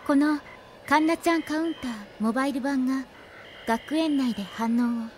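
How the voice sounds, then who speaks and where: Woman as a high-voiced, polite anime lady. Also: normal speed, slight background noise, lightly acted. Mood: earnest. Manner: polite, matter-of-fact. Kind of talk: anime audio drama acting. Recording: music behind the voice.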